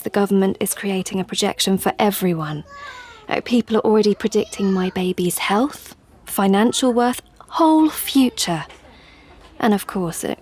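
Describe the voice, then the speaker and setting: A woman's voice: mid-range voice, a little mellow, natural voice. An actress playing a regretful, earnest young mother confessing in an audio play monologue. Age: young adult.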